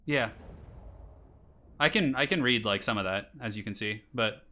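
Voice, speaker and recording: A bright, chatty man in his thirties hosts a live game stream. He reads out donations and chats with viewers, then casts a strategy game match. His match intros rise to hyped announcing. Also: on the low side, moderate pace. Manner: chatty, reads donations aloud, laughs often. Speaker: streamer and tournament caster. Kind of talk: casual live-stream chat and game commentary.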